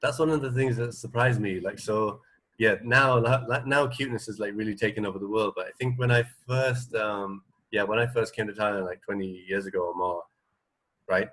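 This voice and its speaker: A man, middle-aged, co-hosting a radio show in casual chat, halting and tentative as he thinks aloud. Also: lowish voice, fairly even intonation, a bit bright, low-quality recording.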